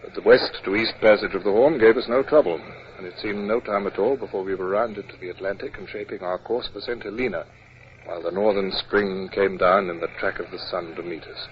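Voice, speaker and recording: Male actor for a reserved, authoritative British naval captain in radio drama; low register, clipped formal delivery, dull vintage recording. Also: fairly even intonation, brisk, close to an everyday voice. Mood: grave narration. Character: stern, reserved British naval captain narrating his adventures. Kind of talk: 1950s radio drama acting and narration. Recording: muffled, hissy old radio recording.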